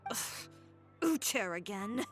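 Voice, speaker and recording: An actress playing a proud, self-assured young fashion designer in a video game, direct, composed and dismissive. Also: female voice, medium pitch, big pitch swings, slow pace, over music. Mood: exasperated. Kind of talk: video game character acting.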